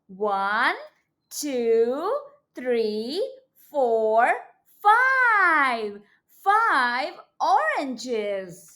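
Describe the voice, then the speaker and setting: A woman with a high voice reads a storybook to small children in a teacherly way. Her delivery is extremely slow, with deliberate stress on words. The pitch swings in a lively way as she coaxes young listeners along with questions. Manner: expressive, coaxing, addressing young children. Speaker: children's read-aloud teacher.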